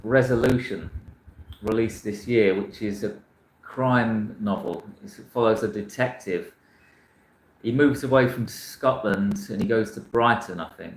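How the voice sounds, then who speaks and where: A man's voice: on the low side, measured pace, echoey room, a little hiss or hum, low-quality recording. A man from South London chatting casually about books, rambling and frank, often restarting his sentences. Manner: rambling, self-correcting, frank. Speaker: book channel host. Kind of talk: casual chat reading viewer comments.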